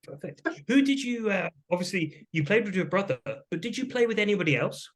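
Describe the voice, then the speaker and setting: Lively encouraging male examiner. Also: high-pitched, fairly quick. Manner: encouraging, brief praise between questions. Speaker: English teacher acting as examiner. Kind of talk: examiner questions in a mock speaking test.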